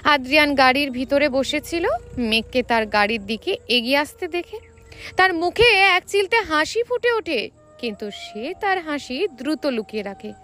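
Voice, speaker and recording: A woman reading a scripted romantic story as an expressive narrator. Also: high-pitched, moderate pace, faint music behind.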